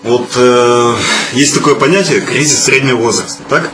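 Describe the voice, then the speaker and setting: A man's voice: mid-range voice, normal speed, music in the background. A man in his early thirties talking casually in a group discussion, with an ironic, self-deprecating manner. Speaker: musician guest answering questions. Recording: echoey room with clear background noise.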